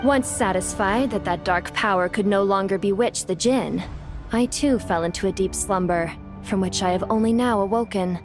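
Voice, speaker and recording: A regal, ancient female spirit of the desert acted by a woman, with a medium-pitched voice. She speaks in lofty, formal phrases as a devoted but proud servant in a fantasy game. Music plays clearly behind her. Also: normal speed, close to an everyday voice. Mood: solemn, reflective. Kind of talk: dramatic video game voice acting.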